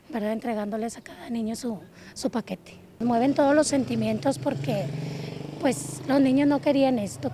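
A woman with a high, mellow voice speaks haltingly and with feeling to a reporter outdoors. Faint street sounds run underneath. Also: slight background noise. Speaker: teacher. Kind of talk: street interview. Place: outdoors on a sidewalk. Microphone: handheld reporter mic.

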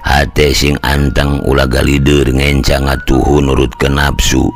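A man with a Sundanese accent voicing a calm, wise older village uncle who teaches patiently in a storybook tale. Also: very deep voice, a little slow, soft background music. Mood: calm teaching. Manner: patient, moralizing. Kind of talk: storybook dialogue.

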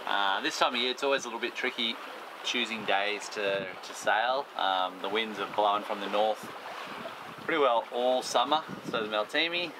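A man's voice: fairly low pitch, moderate pace. A man chatting casually to camera as a sailing vlogger. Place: outdoors on a sailing yacht underway. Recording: noisy wind and waves on boat.

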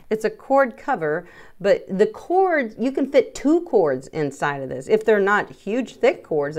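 A middle-aged woman giving a casual, chatty explainer to viewers, speaking emphatically and directly to them. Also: medium pitch. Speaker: dog groomer presenting salon setup.

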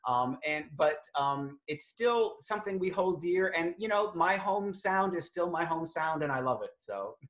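A middle-aged man from Greater Boston with a Boston accent, explaining language on a video call from his home study, articulate and measured with frequent fillers. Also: on the high side, lively intonation, a bit bright. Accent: Boston, non-rhotic. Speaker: lexicographer guest. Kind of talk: thoughtful conversational explaining.

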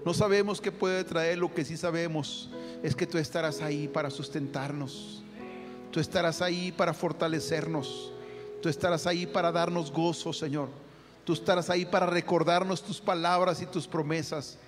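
A man's voice: on the high side, steady tone, normal speed. A man leading a fervent spoken prayer, calling out to the Lord after nearly every phrase. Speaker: prayer leader. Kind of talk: spoken prayer over music. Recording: long hall reverb with guitar music. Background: soft guitar music.

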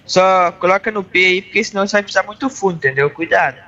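A man's voice: sits high, racing, sharp tone, lo-fi sound. A man in a game roleplay playing a mechanic, drawling and calling everyone rapaz. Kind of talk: in-character roleplay.

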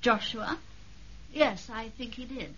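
A woman's voice: a little high, slow pace, sharp tone, breathy edge, full character voice. An actress playing a poised, commanding aristocratic British lady villain in a 1970s radio drama. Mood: gracious, poised. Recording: dull, noisy 1970s radio recording.

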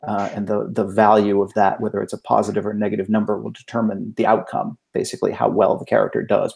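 A man on a video call explaining things in a measured, methodical way as an interview guest. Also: lowish voice, creaky, darkish tone. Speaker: game designer, podcast guest.

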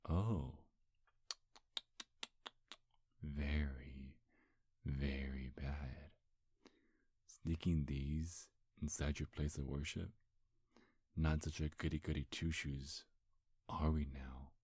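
A man's voice: rumbling low, flat delivery, slow pace, long pauses, a little mellow, slightly rough, dry recording, heavily acted. A man playing a charming, teasing demon seducer in an intimate ASMR roleplay, sly and flirtatious, with hesitant stammers. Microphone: close, dry microphone.

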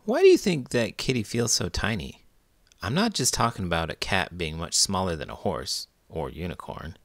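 A man narrating a children's story as read-aloud host, expressive and deliberate. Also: low voice, no room sound.

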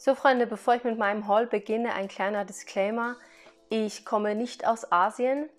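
A woman's voice: on the high side, steady tone, moderate pace, faint music behind. Chatty woman vlogging. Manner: chatty, laughs between remarks; explains items one by one to viewers. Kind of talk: casual product haul vlog.

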